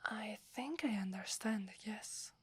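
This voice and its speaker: Woman acting a loyal young werewolf girl, italian accent, breathy whisper, slow and submissive, asmr roleplay. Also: mid-range voice. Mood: earnest, reverent. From Italy. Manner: hesitant, reverent, submissive. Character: devoted young female werewolf, deferential to her alpha. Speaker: voice actress.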